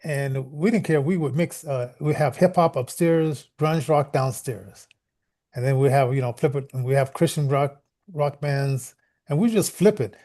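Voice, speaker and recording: A middle-aged American man telling anecdotes in casual chat, halting and restarting as he goes, getting animated when he quotes people. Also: mid-range voice.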